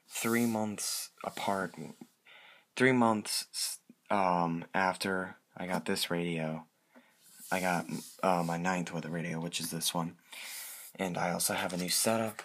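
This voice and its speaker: A man presenting his hobby in a casual, unscripted show-and-tell, halting and correcting himself as he goes. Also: deep voice, fairly bright tone. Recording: clearly noisy amateur home recording.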